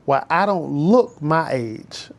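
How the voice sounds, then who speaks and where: A man's voice: on the high side, big pitch swings, takes it slowly, creaky. A man, telling his life story in a casual, preachy, emphatic vlog from his porch. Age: 50s. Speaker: vlogger and school bus driver trainer.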